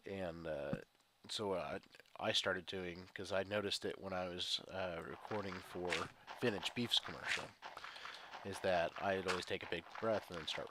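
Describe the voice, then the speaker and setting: A man with a head cold, congested and sniffling, chatting casually over gameplay in a rambling, chuckling way. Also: low-pitched. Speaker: gaming video creator. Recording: loud background noise and clicks.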